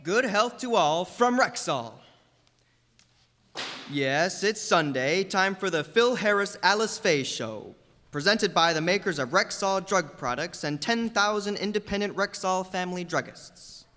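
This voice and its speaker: A man's voice: high-pitched, lively intonation, unhurried, pushed and bright, exaggerated voice. A man playing an upbeat announcer in a 1940s-style radio comedy show. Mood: bright, announcing. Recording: reverberant hall, music behind.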